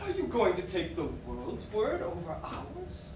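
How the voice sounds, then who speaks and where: An actor on stage playing an indignant, loyal husband defending a friend, in an emphatic, rhetorical manner. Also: male voice, high-pitched, wildly swooping pitch, unhurried, exaggerated voice. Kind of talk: stage acting in a one-act play. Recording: echoey stage recording from far off, noisy.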